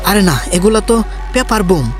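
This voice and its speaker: Man acting a scheming old villain over loud music. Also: high-pitched, lively intonation, fast. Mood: surprised, scornful. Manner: teasing, menacing, boastful. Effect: voice changer. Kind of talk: comic amateur anime parody dub.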